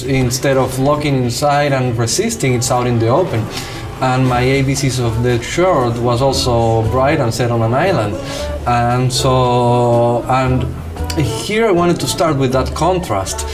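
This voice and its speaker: A man from Cuba with a Cuban accent and Spanish as his first language, a film director talking casually and animatedly in an interview. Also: mid-range voice.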